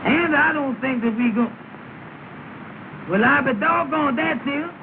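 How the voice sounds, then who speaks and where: Very high-pitched, nervous naive sidekick. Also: male voice, unhurried. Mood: flustered. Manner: folksy nonstandard grammar, plaintive. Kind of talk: 1930s film comedy dialect acting. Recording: noisy, tinny 1930 film soundtrack.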